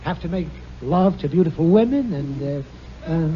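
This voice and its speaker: A man's voice: a little high, animated, normal speed, a little hiss or hum, lightly acted. An actor playing a pompous, sarcastic middle-aged male boss who brags theatrically, in a 1950s radio sitcom. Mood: bluster. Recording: muffled old radio broadcast.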